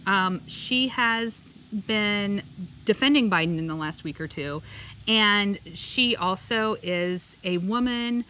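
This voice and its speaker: A woman's voice: mid-range voice, slow, pushed and bright, a little hiss or hum, low-quality recording. A woman co-hosting a podcast, talking through politics casually with many fillers and restarts. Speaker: podcast co-host.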